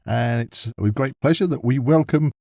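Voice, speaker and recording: A man's voice: mid-range voice, normal speed, a little mellow, no echo, studio quality. A man hosting a podcast and chatting as he interviews a guest. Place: padded podcast studio.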